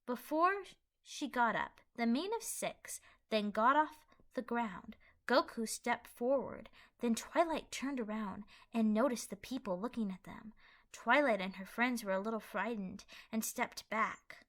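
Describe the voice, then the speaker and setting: A woman's voice: on the high side, animated, measured pace, a bit bright. A woman giving scripted storybook narration as the narrator of a fan fiction audio play.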